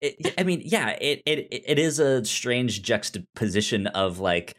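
Lively man with a stutter. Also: mid-range voice, measured pace. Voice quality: stuttering, repeated sounds and syllables. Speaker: podcast co-host. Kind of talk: casual chat about a TV drama.

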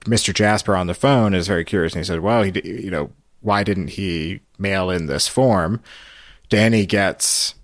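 A man's voice: deep voice, normal speed, no echo, studio quality. An American man hosting a podcast, chatting casually through a recap. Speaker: podcast host.

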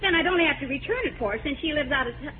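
An excitable housewife in an old radio comedy. Her extremely high voice swoops about, fussy and fluttery. The recording is tinny with slight noise. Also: racing. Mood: cheerful, fussy. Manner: fluttery, chatty, easily flustered. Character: fussy, excitable middle-class housewife and mother. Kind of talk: 1940s radio comedy acting.

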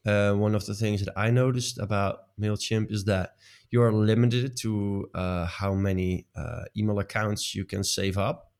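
A man with a lowish voice talks in a relaxed, chatty way. English is not his first language, and he pauses often, restarting sentences and filling gaps with "uh.". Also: clear, resonant tone. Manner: hesitant, many fillers and restarts. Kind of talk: casual chat.

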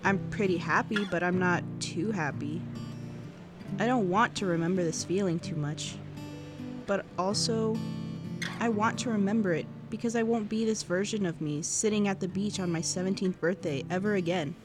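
A woman's voice: medium pitch, normal speed, close to an everyday voice. A woman playing a wistful, awkward seventeen-year-old girl who muses hesitantly into an audio diary in a fiction podcast. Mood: musing. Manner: reflective, hesitant, self-correcting teenage musing. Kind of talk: intimate first-person cassette diary narration. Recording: music under the voice. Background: guitar music.

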